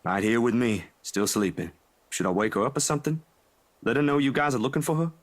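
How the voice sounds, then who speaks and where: A man's voice: mid-range voice, steady tone, fairly quick, close to an everyday voice. A man acting a laid-back, caring young man in a visual novel, speaking casually and a little hesitantly. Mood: teasing.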